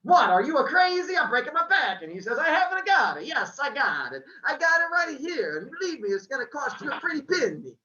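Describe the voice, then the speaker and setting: Reader putting on a thick-accented gruff old bookseller. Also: high voice, sing-song, fairly quick, few pauses, a little hiss or hum, pitched above the performer's own voice, heavily acted. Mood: shouting. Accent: put-on Italian-style. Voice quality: thick accent, loud and blustery. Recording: echoey room, dull sound.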